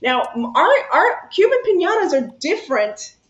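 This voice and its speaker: A high, chatty woman hosts a craft session and rambles freely about whatever comes up. She laughs easily. The room is echoey and the sound dull and lo-fi. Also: very animated, normal speed. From Cuba. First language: Spanish. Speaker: craft channel host. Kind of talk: casual chat while crafting.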